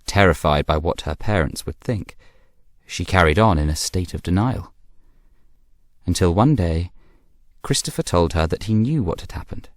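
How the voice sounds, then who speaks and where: A man's voice: low-pitched, expressive, normal speed, no echo, clean studio sound. An actor with a British accent playing a self-assured, scheming young man, confiding his story in a radio drama monologue with arch, knowing asides. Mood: confiding, measured.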